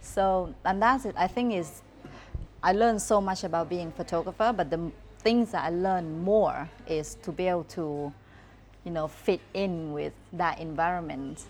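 Casting: Thai-accented woman, lower voice, candid and halting in English, light background hiss. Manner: candid, halting, with many restarts and fillers. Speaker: guest photographer. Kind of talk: casual podcast chat.

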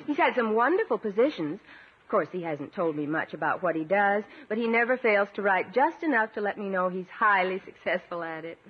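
A woman's voice: mid-range voice, expressive, fairly quick, slightly rough. A sweet naive doting lady, kinda gushing and coy, old muffled radio comedy show. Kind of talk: 1950s radio comedy acting.

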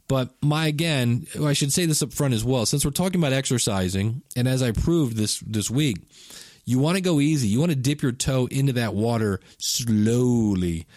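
A man's voice: mid-range voice, a little mellow, dry recording, clean studio sound. A man in his fifties hosting a casual solo podcast, talking in a rambling, chatty way and correcting himself as he goes. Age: 50s. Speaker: podcast host.

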